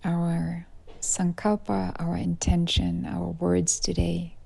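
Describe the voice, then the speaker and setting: Woman, meditation teacher, very gravelly vocal fry, mellow dark tone, soft breathy endings, unhurried pauses. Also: on the low side, completely flat delivery. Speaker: yoga nidra teacher. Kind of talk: guided meditation.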